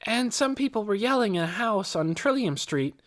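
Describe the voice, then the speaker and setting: A man's voice: high voice, expressive, moderate pace, voice pushed up. A man voicing an eager, earnest young girl in an audiobook, breathless and keen to please. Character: eager, earnest young girl confessing what she saw. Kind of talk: audiobook character voice.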